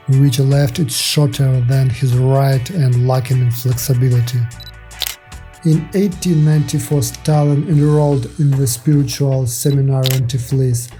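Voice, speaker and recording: A man delivers scripted documentary narration in a flat, level tone with little rise and fall. His voice is dark and mellow, and he reads facts and figures in a measured, steady way. Faint music plays underneath. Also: mid-range voice, low-quality recording.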